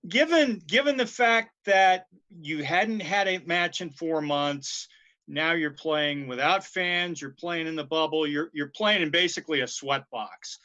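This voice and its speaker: A man's voice: fairly high pitch, measured pace, bright, forceful tone. A man working as a reporter, putting questions at a press conference.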